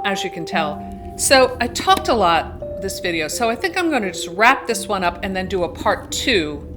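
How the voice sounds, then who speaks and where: A woman with a medium-pitched voice speaks as the host of a book discussion video. She is conversational and candid, retelling a story in loose everyday language. She freely mixes her opinions into the retelling. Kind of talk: casual chatty book discussion.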